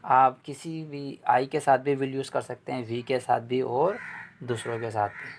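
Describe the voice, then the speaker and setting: Male grammar lecturer, patient and repetitive, mid-pitched voice. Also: flat delivery, measured pace, vocal fry, a little hiss or hum. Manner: repeats points, explains patiently with rhetorical questions. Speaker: English grammar teacher. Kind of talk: explanatory lecture.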